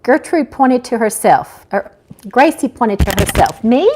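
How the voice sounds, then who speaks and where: A woman author reading her own novel aloud in expressive scripted narration. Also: on the high side, unhurried, background noise.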